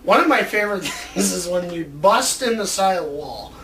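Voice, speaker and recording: A man's voice: sits high, animated, moderate pace, bright, forceful tone. A man co-hosting a casual video chat, rambling informally and joking along the way. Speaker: co-host of a video show about movie ideas. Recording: roomy, distant mic with background noise.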